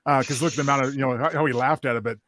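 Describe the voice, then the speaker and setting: A man's voice: medium pitch, fairly even intonation, very fast, a bit bright, no echo. Man chatting casually, dry sound. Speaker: panelist and fiction writer. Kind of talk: casual discussion.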